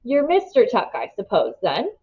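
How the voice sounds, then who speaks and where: Sinister gentleman caller, played by a female reader: mild, formal, coolly courteous, storybook menace, slightly muffled audio. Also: a little high, huge pitch swings, moderate pace, full character voice. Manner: formal, smooth politeness. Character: mild, coolly polite, sinister male stranger.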